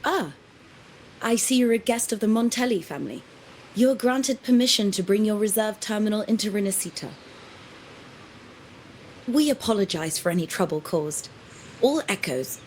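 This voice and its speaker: A woman's voice: medium pitch, expressive, normal speed, darkish tone, a little hiss or hum, natural voice. Woman acting a formal, firm customs inspector. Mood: courteous, reverent. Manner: official, polite, firm. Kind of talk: video game voice acting.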